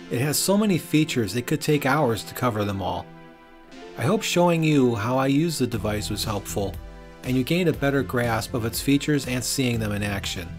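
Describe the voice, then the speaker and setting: A man hosts a gear review in scripted narration. His voice sits at an average pitch for a man. He explains things step by step, with light music behind him. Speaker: product reviewer and host.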